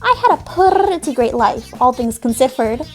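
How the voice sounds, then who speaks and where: Bubbly young feline huntress , woman performer, high cute voice, playful audio-drama role, music bed. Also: lively intonation, normal speed. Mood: cheerful, chatty. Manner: cutesy, playful, peppered with meows. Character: bubbly, affectionate young female cat-like alien huntress. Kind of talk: fan audio drama character acting.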